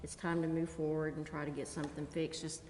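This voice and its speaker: A woman's voice: low voice, level tone, brisk, a little mellow. A woman speaking as a council member at a public meeting. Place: council chamber. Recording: echoey hall, faint noise.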